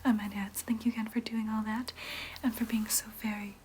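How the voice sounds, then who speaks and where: A woman's voice: fairly high pitch, little pitch movement, a little hiss or hum, natural voice. Woman as a whispering, soothing vampire nurse. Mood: reassuring, welcoming. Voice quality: soft, breathy unvoiced whisper. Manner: soothing, explanatory, slow and careful. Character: gentle, reassuring female vampire nurse at a clinic. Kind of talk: whispered ASMR roleplay.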